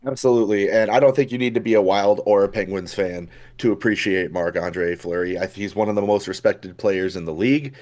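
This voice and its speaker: A young man with a low, hoarse voice co-hosts a casual podcast. He talks in a relaxed, chatty way, stumbling over his words and filling gaps with "uh" as he goes. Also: fairly quick, few pauses. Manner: relaxed, chatty, with frequent fillers and restarts. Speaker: podcast co-host. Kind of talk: casual chat.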